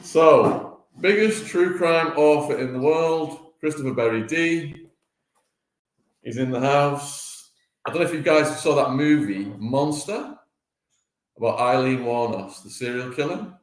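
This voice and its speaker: A man's voice: medium pitch, measured pace. A man hosting a casual breakfast live stream, chatting and greeting viewers by name. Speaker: livestream host. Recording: echoey room.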